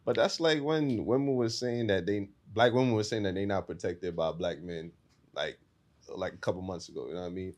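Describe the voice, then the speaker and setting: A man speaking in a laid-back way that often trails off quietly, making his point on a debate panel. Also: low voice, brisk. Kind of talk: casual debate.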